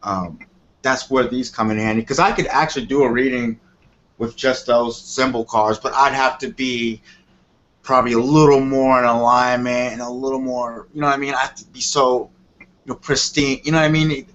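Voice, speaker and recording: A man's voice: medium pitch, fairly even intonation, moderate pace, a bit bright. A man working as a tarot reader, explaining things casually and off the cuff in a rambling way, often checking that he is understood. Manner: rambling, repeats "you know what I mean". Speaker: tarot reader on a call-in show. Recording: dull lo-fi audio with clear noise.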